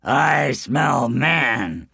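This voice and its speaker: A huge, hungry male giant from a folktale. He bellows with gravelly force, stretching each word out very slowly and menacingly. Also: medium pitch, lively intonation, pushed and bright, voice pushed up, full character voice. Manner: very slow, drawn-out menace. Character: enormous man-eating giant, menacing and hungry.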